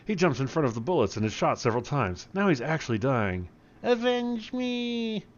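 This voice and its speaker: A man's voice: medium pitch, very animated, fast. A man narrating a comic script as a mock-serious, theatrical dramatic reading, in a slightly muffled recording. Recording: slightly dull, muffled sound.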